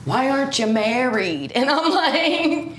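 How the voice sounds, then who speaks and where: A woman's voice: high-pitched, very animated, slow, reverberant, slight background noise. A young woman co-hosting a podcast as a stand-up comic, talking bluntly and jumping between ideas in casual chat.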